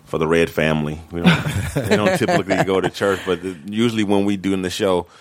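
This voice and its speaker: A man's voice: expressive, quick pace, no room sound. A man hosting a casual comedy podcast, joking with his co-hosts and laughing often. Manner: joking, laughs often, jumps up high when amused. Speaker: podcast host.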